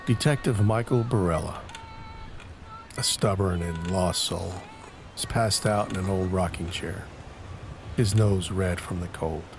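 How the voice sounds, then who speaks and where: A man narrating an audio drama in a deliberate, brooding way, pausing between short phrases for dramatic weight. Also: low-pitched, over music.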